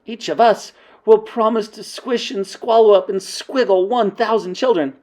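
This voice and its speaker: Woman voicing a shrill, domineering witch queen for a storybook read-aloud, very high and loud, slow drawn-out commands. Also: lightly acted. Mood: commanding. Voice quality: screeching, commanding.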